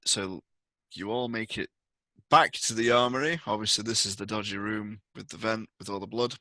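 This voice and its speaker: A man's voice: fairly low pitch, moderate pace, bright, forceful tone, no echo. A man running a tabletop role-playing game as game master in a casual, conversational way.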